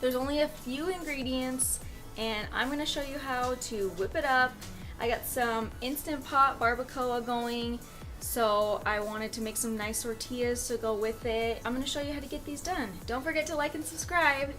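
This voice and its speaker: A woman's voice: sits high, steady tone, moderate pace, fairly bright tone. A woman hosting her own cooking vlog, talking in a chatty, slangy, enthusiastic way. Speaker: cooking vlogger host. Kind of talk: upbeat casual vlog intro. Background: music.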